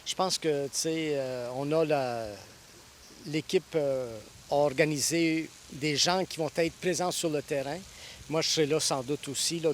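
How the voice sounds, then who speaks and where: A man's voice: fairly high pitch, slight background noise. Hesitant priest in his sixties. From Ontario, Canada. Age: 60s. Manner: thoughtful, with frequent hesitations and restarts. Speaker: priest and guest preacher. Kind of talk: interview answers.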